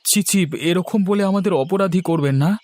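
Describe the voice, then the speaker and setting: A man's voice: sits high, moderate pace, darkish tone, no room sound, lightly acted. A man voicing a poor, weary village farmer and father in a cartoon drama, plaintive and earnest. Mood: humble. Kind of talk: Bengali cartoon drama dubbing. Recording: music under the voice.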